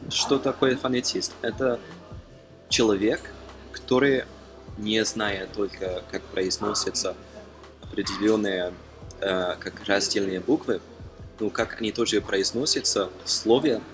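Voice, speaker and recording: A young man from Germany talking casually to camera as a non-native speaker, halting with frequent fillers and self-corrections. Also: on the low side, fairly even intonation. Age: young adult. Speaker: language-learning vlogger. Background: background music.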